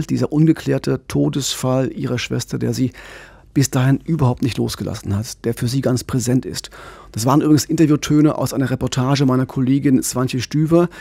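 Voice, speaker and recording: A middle-aged man talks through a true-crime case at a studio microphone, calm and conversational, pausing to rephrase himself. His voice is husky and gravelly, and the sound is close and dry. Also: medium pitch, no room sound. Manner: explains calmly, with restarts. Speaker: podcast co-host and crime reporter. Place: radio studio. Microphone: studio microphone with pop filter, headphones.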